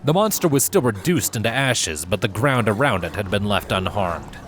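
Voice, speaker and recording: A man with a fairly low voice narrates an adventure story. His reading is scripted, measured and dramatic, with faint music playing behind him. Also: big pitch swings. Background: background music.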